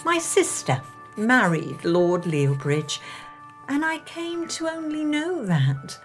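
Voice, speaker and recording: Actress as slow-speaking, earnest genteel young Englishwoman. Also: high-pitched, very animated, soft background music, full character voice. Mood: fond, resolute. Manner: formal, carefully enunciated, heartfelt. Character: earnest, genteel young Englishwoman of 1910s, romantic, independent-minded. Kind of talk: period radio drama acting, diary narration.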